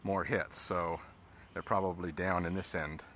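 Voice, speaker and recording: Low, bright-toned male angler teaching as he fishes, action-cam sound with faint outdoor noise. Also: unhurried. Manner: relaxed, explains steps as he casts. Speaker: fly fishing instructor. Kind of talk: casual instruction while fishing. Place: outdoors on a river, in a boat.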